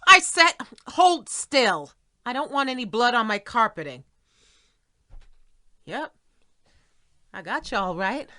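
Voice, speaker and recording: Tough wife, bright, high voice. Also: female voice, sing-song, slow, pauses often, exaggerated voice. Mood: cold command. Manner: exasperated, curt, commanding. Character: fed-up, tough wife and writer, hard-edged. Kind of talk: audio play acting.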